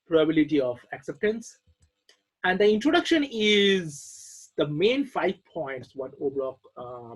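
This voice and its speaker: A man's voice: fairly high pitch, fairly bright tone, breathy edge, rough recording. A man who is not a native English speaker, giving an informal academic talk with many fillers and restarts.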